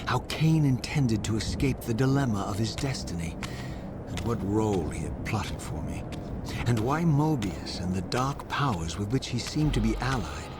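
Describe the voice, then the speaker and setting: An actor playing a proud, bitter undead vampire wraith in a video game, speaking with formal, deliberate and sardonic theatrical diction. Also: male voice, lowish voice, animated, very noisy, lightly acted. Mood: brooding narration. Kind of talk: dramatic video game voice acting.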